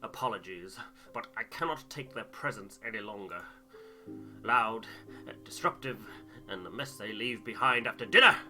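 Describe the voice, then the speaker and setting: Man plays exasperated, formal expedition gentleman in a game: forceful, loud, bright, fussy. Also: lowish voice, animated, moderate pace, soft background music. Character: fed-up, fussy expedition gentleman demanding privacy.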